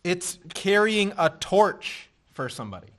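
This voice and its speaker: A man playing a dry-witted drifter in a radio play, with deadpan delivery. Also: high-pitched, a little slow, exaggerated voice.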